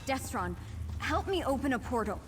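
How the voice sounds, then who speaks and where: A woman's voice: high voice, very animated, normal speed, soft background music. An actress playing a grave, level-headed young woman in a fantasy video game. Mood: alarmed. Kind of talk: dramatic video game acting. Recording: long reverb, noisy horse-hoof ambience.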